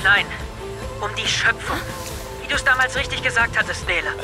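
A woman's voice: a little high, lively intonation, normal speed, close to an everyday voice. A young woman playing an earnest, idealistic teenager defending a radical cause in a German audio drama. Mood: earnest, pleading. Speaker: actor. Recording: music under the voice.

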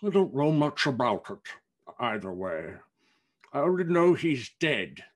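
A man's voice: medium pitch, sing-song, measured pace. A man voicing a great fat businessman, callous and jovially indifferent, offhand and blustery in a storybook reading.

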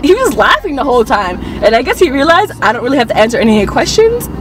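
A woman's voice: high-pitched, fast, noisy background. A young woman vlogger telling a gossipy storytime in a parked car, talking into a camera at arm's length. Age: young adult. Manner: animated and gossipy, full of quoted voices. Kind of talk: casual storytime.

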